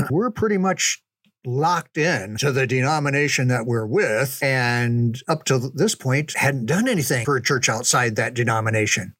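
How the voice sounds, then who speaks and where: An older man reminiscing casually in a folksy, unhurried, conversational way, as a storyteller. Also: mid-range voice, a bit bright, breathy edge, no room sound. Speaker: storyteller recalling ministry memories.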